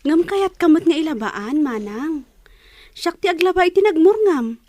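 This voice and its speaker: A woman plays a grateful young student, the dutiful little sister of a radio drama. Her voice is high and recorded dry, without room sound. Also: lively intonation, moderate pace, mellow, dry recording. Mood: grateful, earnest.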